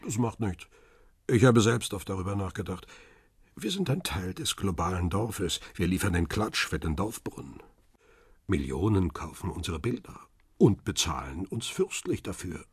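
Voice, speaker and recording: A man's voice: sits low, animated, moderate pace, slightly rough, dry recording, clean studio sound, exaggerated voice. An actor playing a world-weary middle-aged paparazzo in a crime radio play, reflective and understated as he tells his story. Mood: matter-of-fact. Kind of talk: radio drama, first-person narration and dialogue.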